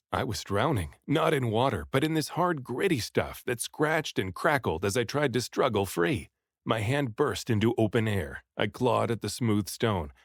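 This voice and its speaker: A man reads an adventure novel aloud as an audiobook narrator, with lively swings in pitch and a dramatic touch. His mid-pitched voice is recorded close and dry. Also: no room sound. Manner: dramatic, animated storytelling. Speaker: audiobook reader. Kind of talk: expressive first-person storybook narration.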